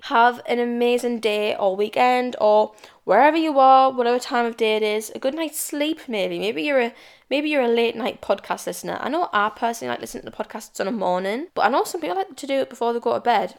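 A young British woman hosting her own podcast, chatting casually and rambling with restarts and stumbles. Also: a little high. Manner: chatty, rambling, self-described stuttering and babbling. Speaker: podcast host. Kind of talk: casual solo podcast chat.

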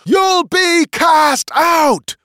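A man voicing a stern, angry magician of a small creature clan, growling threats forcefully in a storybook. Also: very high voice, drawn-out words, no room sound, clean studio sound, voice pushed up, heavily acted. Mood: angry, threatening.

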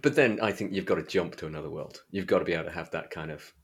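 Deep-voiced man, slightly husky, chatting casually. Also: very fast. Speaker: podcast host. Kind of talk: casual book chat.

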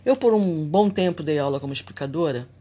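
Husky man musing on faith. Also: a little high, brisk. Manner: reflective and confessional, animated when irritated. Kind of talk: personal spiritual monologue.

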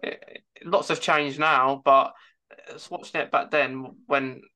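A man hosting a casual fan chat as interviewer, speaking haltingly with repeated words and false starts. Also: medium pitch, steady tone, sharp tone. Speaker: podcast host interviewing a guest.

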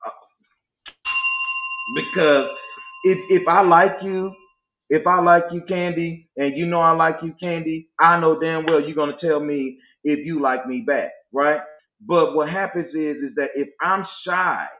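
A man's voice: on the high side, unhurried, a little hiss or hum. A man in his forties holds forth on dating in an emphatic, preachy way. His voice comes through a tinny, phone-like line and echoes around the room. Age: 40s. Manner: preachy, emphatic, slips into mock voices to make a point. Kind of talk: casual podcast chat about dating.

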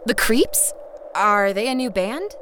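Actress as young female eco-warrior: earnest, sharp-tongued, highish lively voice, music behind. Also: takes it slowly, noisy background. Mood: wry. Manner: earnest, dryly scolding. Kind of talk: comedic audio drama acting.

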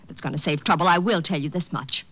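A woman's voice: deep voice, fast. An actress playing a haughty, hot-tempered young rich woman in a 1940s radio drama, imperious and clipped. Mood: grudging. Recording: dull old radio recording.

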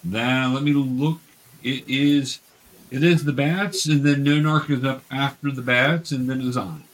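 A man's voice: mid-range voice, steady tone, a little slow. A man running a tabletop game for young beginners as game master, patiently explaining each step.

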